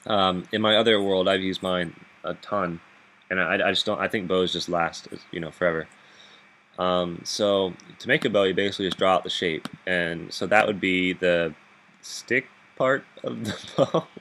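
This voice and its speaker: A man with a very deep voice giving rambling gameplay commentary from his bed into a laptop microphone, chuckling often and restarting his sentences. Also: moderate pace, a bit bright. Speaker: gaming video host. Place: bedroom, sitting up in bed.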